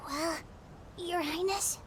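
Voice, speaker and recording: A woman voicing a small, earnest, anxious animal companion in a video game, squeaky and childlike. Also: steady tone, takes it slowly, background noise. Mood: puzzled. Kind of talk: video game cutscene acting. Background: loud music.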